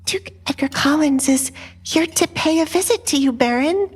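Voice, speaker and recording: Woman playing a high-voiced, meek maid. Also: moderate pace, close to an everyday voice. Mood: timid. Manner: deferential. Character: meek young female household maid. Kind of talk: audio drama.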